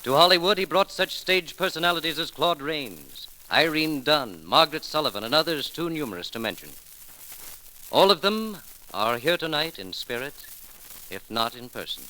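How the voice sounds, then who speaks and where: A man's voice: fairly high pitch, expressive, moderate pace, bright, forceful tone. A man announcing a scripted radio tribute in the 1930s manner, formal, solemn and declamatory. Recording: noisy 1930s radio broadcast recording.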